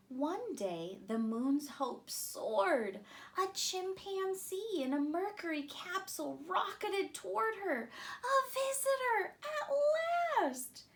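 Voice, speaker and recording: A woman in her thirties reading a children's picture book aloud with deliberate, expressive phrasing. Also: high-pitched. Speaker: storytime reader.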